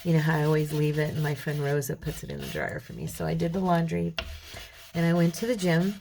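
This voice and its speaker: Woman, tired gravelly voice, low-pitched, chatty craft host, noisy home recording. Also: expressive, quick pace. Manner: chatty, rambling, talks to viewers while working; mutters softly to herself while working. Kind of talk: casual crafting tutorial chat.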